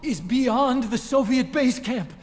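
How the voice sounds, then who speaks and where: A man's voice: very high-pitched, slow. An actor playing an anxious male scientist informant in a video game, explaining technical matters. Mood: uneasy explaining. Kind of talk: dramatic video game dialogue. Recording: very loud music under voice.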